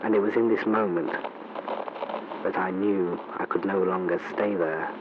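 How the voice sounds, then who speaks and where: A man's voice: sits low, fairly even intonation, lightly acted. An actor playing a grave, shaken male war reporter giving a solemn, formal eyewitness account in a 1930s radio broadcast style. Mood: grim, urgent. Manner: solemn, deliberate, formal narration. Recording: noisy, muffled vintage radio recording.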